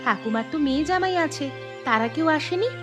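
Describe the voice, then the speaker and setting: Female cartoon voice, high and chatty, familiar village manner, clear music behind. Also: animated, brisk. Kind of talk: cartoon dialogue voice acting.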